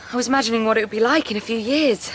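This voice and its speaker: An actress playing an eager, confident young farmer's daughter in a radio drama, breezy and persuasive. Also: female voice, a little high, very fast, a little hiss or hum, natural voice. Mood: breezy, defensive. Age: young adult. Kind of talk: naturalistic radio drama acting.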